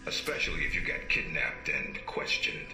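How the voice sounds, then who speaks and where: An actor playing a stern, dry-witted middle-aged spy agency handler in a video game, measured and authoritative. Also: male voice, lightly acted. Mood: measured, wry. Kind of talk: video game cutscene acting. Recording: roomy sound with music behind.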